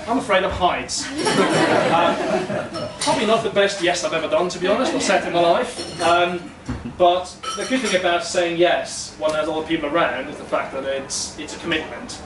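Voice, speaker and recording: A man's voice: a little high, moderate pace. A man in his thirties from Denmark telling a personal story to a live audience, chatty and self-deprecating with many ums. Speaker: storytelling event speaker. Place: small brick-walled event room, indoors. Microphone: far room mic. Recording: echoey room, distant mic, noisy.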